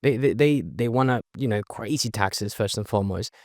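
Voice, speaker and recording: A young man whose first language is Spanish, with a mixed Spanish and Australian accent, talking as a podcast guest in an energetic, emphatic way and often ending with "right?". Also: lowish voice, no echo, clean studio sound. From Chile. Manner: fast, emphatic, leans on "right?" tags. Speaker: podcast guest, networking event organizer. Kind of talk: energetic casual interview talk.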